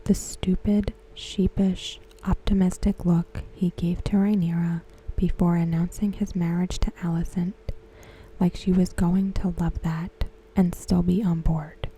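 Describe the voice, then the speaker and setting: A woman giving ASMR commentary in a soft, breathy whisper, hushed and measured. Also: lowish voice, little pitch movement, rough recording. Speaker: ASMR host reviewing a TV episode. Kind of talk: ASMR commentary, softly spoken.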